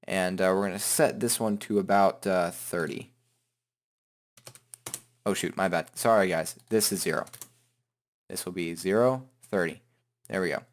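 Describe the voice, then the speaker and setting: A man hosting a software tutorial in an informal, joking, self-deprecating way. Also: sits low, unhurried, pauses often. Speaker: tutorial host. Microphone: headset microphone.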